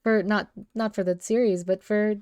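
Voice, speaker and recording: A woman's voice: medium pitch, fairly even intonation, moderate pace, a little mellow, clear, resonant tone, no room sound. A woman reading a visual novel aloud and chatting about it in a playful, informal way. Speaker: co-host and reader of visual novel. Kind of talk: story read-aloud with casual chat.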